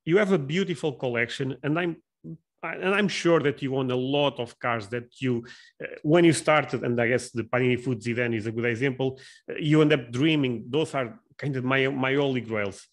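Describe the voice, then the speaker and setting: A man's voice: mid-range voice, moderate pace. Man hosting a casual podcast. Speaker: podcast host. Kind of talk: casual interview chat.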